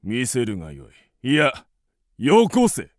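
An actor playing an imperious, greedy old king of ancient China in a radio drama, with a gravelly, haughty, lordly delivery. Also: medium pitch, huge pitch swings, a little slow, no echo, studio quality. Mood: haughty, demanding. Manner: haughty, archaic lordly speech. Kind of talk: Japanese radio drama acting.

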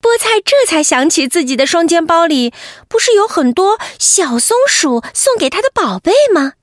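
A woman storyteller narrating an expressive bedtime story for children, animated and speaking to them directly. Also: very high voice, normal speed, no room sound, studio quality.

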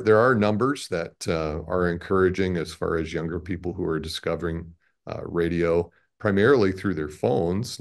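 Man, fifties, low-pitched, creaky, measured, hesitant, conversational interview guest. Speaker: radio station president, interview guest. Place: small indoor office, on a video call.

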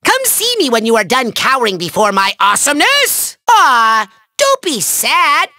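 Casting: pompous little evil overlord for a cartoon game, extremely high piercing voice, gravelly edge, melodramatic and petulant. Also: male voice, huge pitch swings, normal speed, bright, forceful tone, no room sound, studio quality, exaggerated voice. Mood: gloating, mocking. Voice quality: shrill, gravelly. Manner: bombastic, melodramatic, mocking. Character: small, megalomaniacal, gloating male evil overlord, childishly petulant. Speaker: voice actor. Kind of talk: theatrical cartoon villain game dialogue.

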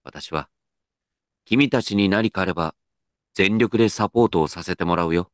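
An actor playing an earnest, dignified company president in a comedic radio drama, with pompous, measured executive speech. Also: male voice, low-pitched, no room sound, studio quality, close to an everyday voice. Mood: earnest, supportive.

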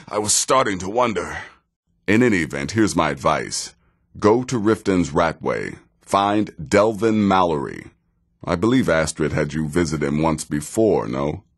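A seasoned video game assassin with a low-pitched voice. He takes his time over each phrase, dry and sardonic, yet his tone rises and falls freely. There is wry menace in how he speaks. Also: animated, measured pace, breathy edge, full character voice. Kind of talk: video game character dialogue.

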